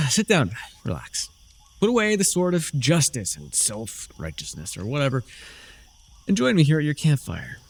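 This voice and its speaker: A man playing a smug, sardonic young dark sorcerer in a fantasy ASMR roleplay, theatrical and teasing, with dry, drawling mockery. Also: on the high side, wildly swooping pitch, moderate pace, full character voice. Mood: wry, coaxing.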